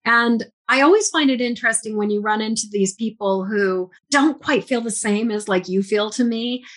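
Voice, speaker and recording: A woman's voice: on the high side, moderate pace, fairly bright tone. A woman hosting a podcast interview, asking questions with enthusiasm and affirming her guest with frequent murmurs of agreement. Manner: enthusiastic, affirming, many mm-hmms. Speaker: podcast host. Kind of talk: casual interview conversation.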